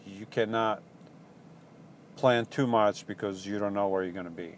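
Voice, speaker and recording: A man with a Portuguese accent, a van-life vlogger casually answering viewer questions in a halting, rambling way full of ums and restarts. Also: fairly low pitch, fairly even intonation, slight background noise. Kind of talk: casual live Q&A chat.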